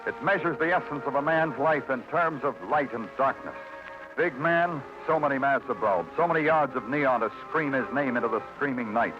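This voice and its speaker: An American actor playing a terse, world-weary police detective in a 1940s radio noir, clipped and hard-boiled. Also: male voice, medium pitch, normal speed, natural voice. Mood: brooding. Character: terse, world-weary New York police detective narrating his case. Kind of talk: 1940s noir radio drama, moody scripted narration. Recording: dull vintage radio recording with music behind.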